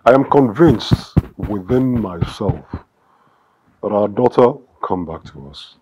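An actor playing a husband gently pleading with his weeping wife to calm down. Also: lowish voice, a little slow, husky, highs cut off. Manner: soothing, pleading, gentle hums. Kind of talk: film drama acting.

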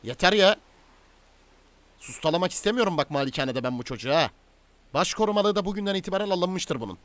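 A man playing a commanding, stern gang boss in improvised game roleplay, domineering and quick to order and threaten. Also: high voice, expressive, a bit bright. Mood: angry, exasperated. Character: commanding male crime boss, stern and menacing.

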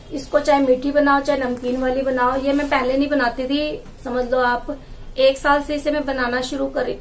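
A woman's voice: sits high, gravelly, slight background noise, low-quality recording. A woman in her thirties, a housewife vlogger, chatting casually as she shows her groceries on camera in a small tiled room. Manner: chatty, explaining while handling jars and bags. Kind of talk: casual home vlog showing groceries. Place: small indoor room with tiled walls and wooden door.

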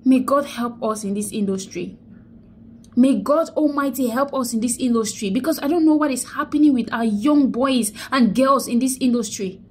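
High-voiced young woman preaching into her phone. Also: moderate pace, a little mellow, husky. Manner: grieving and exhorting, repeats phrases, preaching tone. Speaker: vlogger. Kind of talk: emotional selfie vlog, preachy. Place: indoors, small room. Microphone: phone held close to face.

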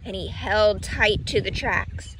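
A woman's voice: mid-range voice, fairly bright tone, rough recording. A woman reading a children's picture book aloud in a teacherly way, explaining and asking questions. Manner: teacherly, slow and clearly paced, asking questions. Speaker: read-aloud storyteller for children. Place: outdoors on grass. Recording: outdoor ambient noise behind voice.

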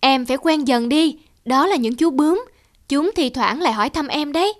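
Caring big-sister grass blade. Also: female voice, high voice, expressive, normal speed. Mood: soothing. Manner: soothing, reassuring. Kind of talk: animated film dubbing.

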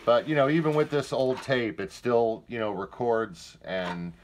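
A man's voice: mid-range voice, lively intonation, unhurried, slight background noise. A man giving a casual, plain-spoken product demonstration indoors, speaking informally from off camera.

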